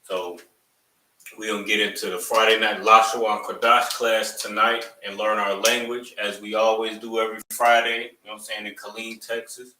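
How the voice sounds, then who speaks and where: A man's voice: on the low side, level tone, normal speed, sharp tone, a little hiss or hum. Man instructor, loose and repetitive, turns emphatic, recorded from a distance in a classroom. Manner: loose and rambling, repeats "you know what I'm saying"; preachy, rising to emphatic lines. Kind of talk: opening remarks to a live class. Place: classroom, also streamed online. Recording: echoey room, mic at a distance.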